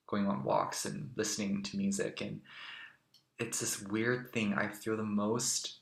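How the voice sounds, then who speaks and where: Young man from Taiwan, bright and roomy. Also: on the low side, gravelly. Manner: thoughtful, musing, with soft fillers. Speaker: interview guest. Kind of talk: casual reflective answers. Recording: roomy echo.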